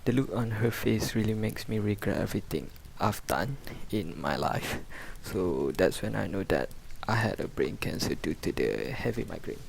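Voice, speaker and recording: A young man playing a despondent cancer patient in an amateur radio drama, halting and resigned. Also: fairly low pitch, lively intonation, creaky, a little hiss or hum, rough recording. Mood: bitter, hopeless.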